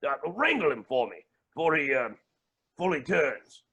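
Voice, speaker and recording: A man playing a flamboyant, menacing and unhinged billionaire villain in a staged play reading, with a raspy, creaky voice and grandiose delivery. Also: a little high, very animated, normal speed, muffled recording, full character voice. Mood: breezy command. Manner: theatrical, grandiose, swinging from mutters to shouts.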